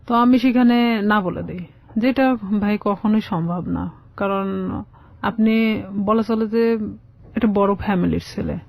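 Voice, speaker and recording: A woman's voice: mid-range voice, unhurried, creaky, long pauses, a little mellow, dry recording. A woman telling her personal story conversationally as a radio guest, often quoting both sides of conversations. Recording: slightly dull lo-fi radio audio.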